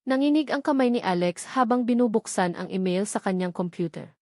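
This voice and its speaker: A Filipino woman reads a dramatic story as its narrator. Her voice sits at an ordinary pitch for a woman. The sound is close and dry, with no room on it. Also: expressive, a little fast, a little mellow, no room sound.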